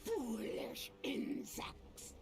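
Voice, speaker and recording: A male actor playing a contemptuous, gloating dragon in a fantasy video game, grandiose and menacing in a hissing gravelly voice. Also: extremely slow, whispered. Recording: game music behind the voice.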